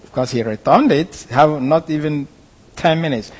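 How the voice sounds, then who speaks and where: A Nigerian-accented pastor recounts events to his congregation, his voice sitting a little high for a man. He tells it haltingly, full of "uh"s and restarts. The sound carries a long reverb, as if heard in a large hall. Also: husky. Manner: halting storytelling with frequent fillers and restarts. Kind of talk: sermon testimony.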